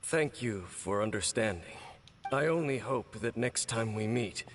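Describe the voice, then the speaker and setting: An actor playing a weary, stern, mysterious warrior guide in a video game, speaking in a grave, formal, measured way. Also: male voice, mid-range voice, animated, a little hiss or hum, lightly acted. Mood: dismissive, solemn. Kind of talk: video game character dialogue.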